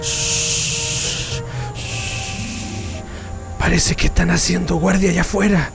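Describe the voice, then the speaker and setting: A man's voice: high-pitched, fairly even intonation, takes it slowly, vocal fry, music in the background, heavily acted. A man playing a beaten, blindfolded Chilean prisoner in a radio drama, groaning and cautioning his companions, wary and bitter.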